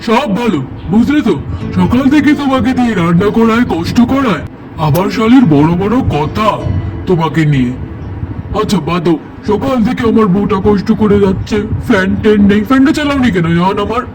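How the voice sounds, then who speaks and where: Doll soap husband, man acting: flirty, doting, high voice, gushing delivery. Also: expressive, fairly quick, rough recording. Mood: flirtatious. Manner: gushing, theatrical, repetitive. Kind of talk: amateur doll-drama acting. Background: music.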